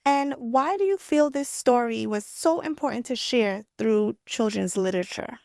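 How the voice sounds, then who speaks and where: A woman's voice: a little high, animated, normal speed, creaky, darkish tone, no echo, clean studio sound. A woman hosting an interview, introducing her guest and asking questions in a polite, formal way. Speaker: interview host, educational director. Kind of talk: hosted interview.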